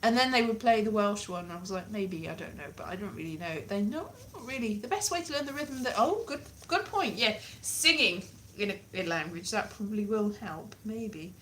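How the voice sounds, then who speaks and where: A woman, perhaps in her late thirties, chatting casually to camera in an animated, rambling, dryly jokey way. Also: mid-range voice, fairly quick, few pauses, slight background noise, poor audio. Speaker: vlogger, language learner. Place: small plain room indoors.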